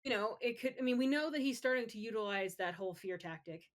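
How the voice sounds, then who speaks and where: A woman's voice: on the high side, expressive, fast talker. A woman co-hosting a podcast in casual pop-culture chat, conversational and opinionated. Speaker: podcast co-host.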